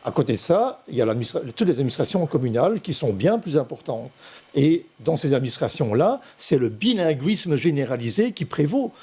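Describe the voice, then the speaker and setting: Politician man on a tv debate, husky, lively pitch, keeps cutting in. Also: a little fast. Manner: insistent, interrupts, emphatic. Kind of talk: televised debate.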